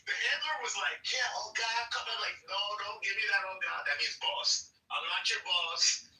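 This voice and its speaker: A man's voice: high-pitched, moderate pace, sharp tone, soft background music. Slangy laid-back man, roomy distant sound. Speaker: podcast host. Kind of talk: casual chat.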